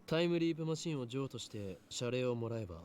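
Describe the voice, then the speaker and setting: A man's voice: mid-range voice, lively intonation, very fast, darkish tone, natural voice. A man voicing a dramatic, self-assured young mad scientist in an anime-style visual novel. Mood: confident. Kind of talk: Japanese visual novel voice acting.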